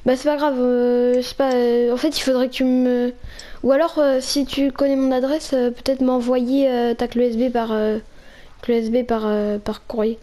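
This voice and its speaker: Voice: medium pitch, flat delivery, normal speed, dark tone. A young person improvising in a video game role-play, speaking hesitantly and repeating words. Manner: hesitant, repetitive. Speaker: video creator and player.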